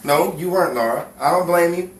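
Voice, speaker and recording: An actor playing a scheming, smooth-talking young hoodlum who pushes his girlfriend, in 1950s radio crime drama style. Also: fairly high pitch, a little fast, close to an everyday voice. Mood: insistent, restless. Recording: roomy, distant mic with faint noise.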